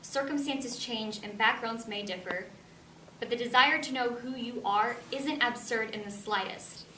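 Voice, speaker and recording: A woman's voice: a little high, unhurried, pushed and bright. An actress playing an earnest, nervous adoptee woman who tells her story in a stage play. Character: nervous young adoptee woman searching for her birth mother. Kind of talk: stage play monologue and dialogue. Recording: echoey stage, distant mic, noisy.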